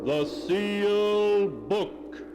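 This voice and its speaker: A man's voice: sits high, lively intonation, drawn-out words, loud music behind the voice, low-quality recording. A man narrating as an announcer in theatrical 1940s radio melodrama style, solemn and ornate, on an old recording.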